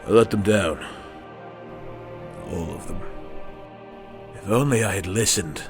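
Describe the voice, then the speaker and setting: A man playing a grieving, guilt-ridden soldier in an audio drama, halting and subdued in his anguish. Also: low voice, creaky, music in the background, full character voice.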